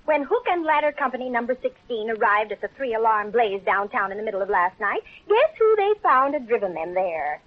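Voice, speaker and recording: A woman's voice: a little high, very animated, a little fast, few pauses. An actress playing a sardonic, wisecracking woman friend in a 1930s radio comedy-drama, on a muffled old recording. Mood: mock dramatic. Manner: sardonic, flippant. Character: wisecracking, cynical roommate of a newspaperwoman.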